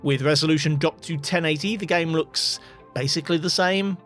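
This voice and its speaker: A man's voice: a little high, normal speed, a bit bright, soft background music. A man giving scripted voice-over narration as a tech video host, with dry, wry asides.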